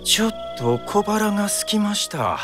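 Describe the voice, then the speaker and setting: An actor playing a refined, wry Kyoto gentleman with a Kyoto accent, polite and musing, in a radio drama. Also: high voice, animated, moderate pace, over music. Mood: pensive.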